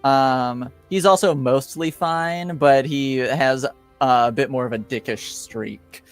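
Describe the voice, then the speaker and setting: A man runs a tabletop game, improvising as he narrates. His voice is smooth and medium in pitch, and he speaks slowly and haltingly, with faint music behind him. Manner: hesitant, halting delivery. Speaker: game master.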